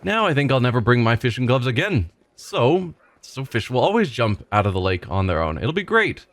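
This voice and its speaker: A man's voice: mid-range voice, sing-song, normal speed. A man voicing a cheerful, simple-minded male village fisherman who rambles and repeats himself proudly. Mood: boastful. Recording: faint game music behind.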